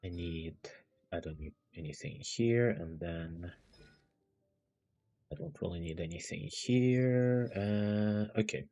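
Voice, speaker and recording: Low-pitched, groggy man streaming. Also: slow, mellow, muffled recording. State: just waking up, groggy. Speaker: game streamer. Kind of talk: casual gameplay chat.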